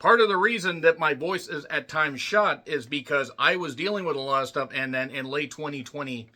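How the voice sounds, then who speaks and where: A man with a slightly rough, worn voice delivers a film review in an unscripted monologue. He talks bluntly and forcefully, swears freely and keeps clearing his throat. His tone is sharp and bright. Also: a little high, brisk. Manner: blunt, profane ranting with frequent throat clearing. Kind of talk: casual spoken movie review.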